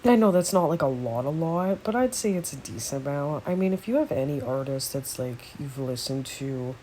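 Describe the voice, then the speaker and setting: A woman's voice: sits low, lively intonation, normal speed, dark tone. This woman talks from the bottom of her range, her voice nasal and congested from a cold. She chats loosely and unscripted, drifting through asides and fillers as she builds a music tier list. A phone picks her up with a faint hum of room noise behind. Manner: rambling, chatty, many fillers and asides. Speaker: music vlogger. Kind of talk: casual unedited tier list commentary.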